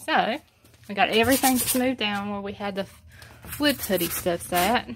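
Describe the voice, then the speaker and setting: A soft-spoken woman with a Southern US accent, talking low and hesitantly with many ums as she shows a home project alone in front of her phone camera. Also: moderate pace, noisy background. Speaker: homestead vlogger. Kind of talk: casual how-to vlog.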